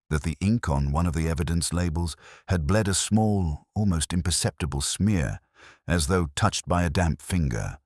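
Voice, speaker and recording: A man reading scripted first-person detective narration in a measured, solemn and literary manner. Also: sits low, no room sound, clean studio sound.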